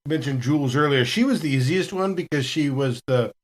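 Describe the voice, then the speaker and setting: A man's voice: fairly high pitch, fairly quick. A man talking casually as a cast member of a gaming podcast. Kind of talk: casual chat.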